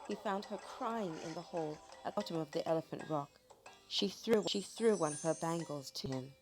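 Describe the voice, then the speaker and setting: A woman narrating a children's folk tale from a script in a dramatic, expressive storytelling manner. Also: low-pitched, moderate pace, over music.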